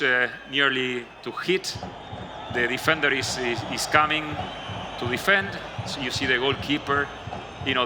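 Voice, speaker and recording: Argentinian guy presenting on stage, really bright tone, lots of uhs and restarts. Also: mid-range voice. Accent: Argentinian Spanish. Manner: many fillers and self-restarts. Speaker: conference presenter. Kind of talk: keynote presentation. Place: conference stage.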